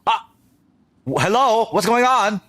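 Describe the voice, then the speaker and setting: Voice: mid-range voice, expressive, unhurried, a bit bright. A game streamer giving casual, playful live commentary, reacting dramatically and muttering along. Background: game music.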